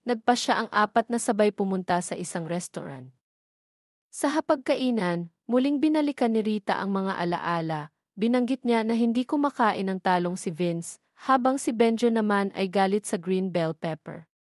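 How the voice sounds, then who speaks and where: A woman's voice: mid-range voice, darkish tone, dry recording, clean studio sound. A woman with a Filipino accent narrating a scripted audio drama story.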